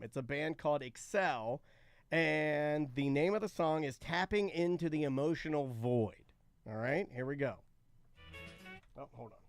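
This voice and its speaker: A man's voice: on the high side, no room sound. A man hosting a talk show in casual banter, ranting and repeating himself for emphasis. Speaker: podcast and radio show host.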